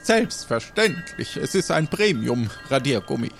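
A man's voice: medium pitch, sing-song, unhurried, creaky, soft background music. A man playing a quirky, self-important old pirate instructor in an improvised audio adventure, speaking in a hesitant, rambling, mock-dignified way. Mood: sly.

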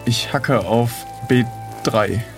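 A man's voice: mid-range voice, slow pace, over loud music. A man playing an improvised audio adventure, casually thinking aloud and hesitantly announcing his moves. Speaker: player in an improvised adventure game.

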